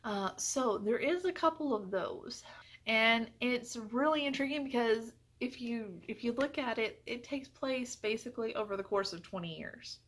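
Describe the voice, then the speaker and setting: A woman's voice: fairly high pitch, moderate pace, fairly bright tone, breathy edge. A woman giving a casual, chatty book review, effusive and emphatic, often repeating phrases for emphasis.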